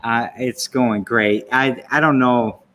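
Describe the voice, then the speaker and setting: A man's voice: mid-range voice, steady tone, slow pace, fairly bright tone, highs cut off. A man hosting a casual interview podcast, talking in a loose, rambling way with many fillers and restarts. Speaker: podcast host. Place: indoor sanctuary room of a venue.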